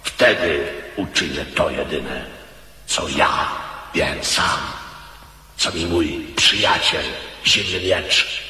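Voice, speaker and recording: An arrogant mercenary knight in a radio drama, with an extremely deep, forceful voice. He declaims with cold, commanding scorn, ringing through a long hall reverb. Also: slow pace, pauses often, exaggerated voice. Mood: boastful. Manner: haughty, commanding, theatrical declamation.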